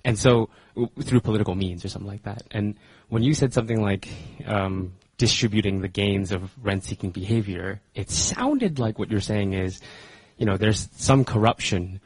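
A man with a low, husky voice asks a question from the audience. He hedges and restarts as he works his way to the point, and his voice sounds dry and close. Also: moderate pace, few pauses, dry recording, poor audio. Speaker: audience member asking a question.

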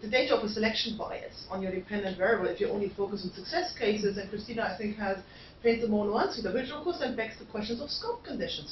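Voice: mid-range voice, slight background noise. Brief: academic panel discussant in an echoey hall, dull, distant sound. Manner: thinking aloud, fillers and self-corrections.

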